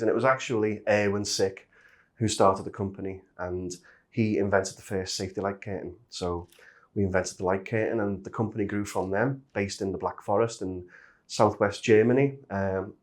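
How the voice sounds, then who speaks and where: A man's voice: fairly low pitch, steady tone, normal speed, darkish tone. A middle-aged man from Liverpool with a Liverpool accent, chatting as a guest in a casual interview. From Liverpool, England. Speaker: interview guest, industrial safety manager.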